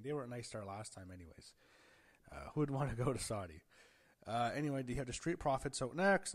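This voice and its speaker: A man who is feeling under the weather and whose voice is a little off. He recaps a show in a casual, rambling way, with fillers, self-corrections, gasps and some throat clearing. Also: medium pitch. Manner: gasping and clearing throat at times. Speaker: wrestling show reviewer.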